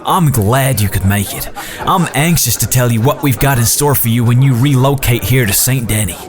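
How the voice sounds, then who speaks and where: A man playing a genteel, smooth-talking western businessman with an unctuous, formal manner in an audio drama. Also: medium pitch, moderate pace, crowd noise, close to an everyday voice. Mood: gracious.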